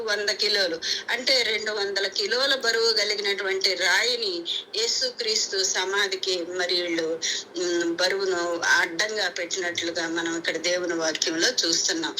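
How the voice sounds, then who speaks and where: A woman's voice: medium pitch, sharp tone. A woman from India preaching a Bible teaching in an explanatory way, repeating points for emphasis. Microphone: conference call. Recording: noisy prayer conference call audio.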